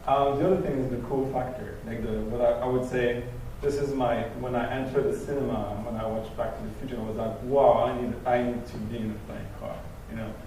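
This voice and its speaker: A man from Belgium, a native French speaker with a French-tinged accent, talking on a panel with halting restarts. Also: medium pitch, steady tone, dark tone, recorded from a distance. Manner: halting, many restarts and fillers. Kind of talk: panel discussion. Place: event venue. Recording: echoey hall from afar, noisy.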